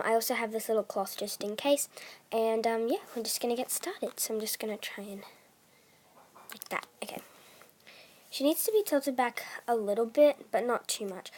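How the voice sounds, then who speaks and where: Soft-spoken teenage girl. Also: fairly high pitch. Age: teens. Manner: soft-spoken, many fillers, trails off into whispers. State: has a bad cough. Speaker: doll collector vlogger. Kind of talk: casual chat.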